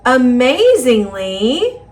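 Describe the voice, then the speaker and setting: A woman with an American accent reading a children's picture book aloud in an expressive, singsong rhyming delivery. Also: sits high, drawn-out words. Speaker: storybook reader.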